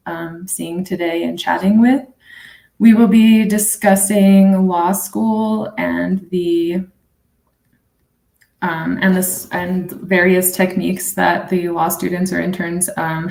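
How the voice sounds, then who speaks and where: A woman's voice: lowish voice, creaky, a little mellow, roomy sound. A woman attorney from New Mexico moderating a live panel in a friendly way, with frequent ums. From Navajo Nation, New Mexico.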